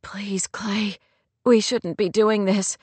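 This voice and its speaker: A woman voicing a torn, anxious young woman in love for a romance audiobook, in a soft, breathy, pained murmur. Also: medium pitch, fairly even intonation, slow pace, no echo. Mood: pleading. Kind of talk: romance audiobook character dialogue.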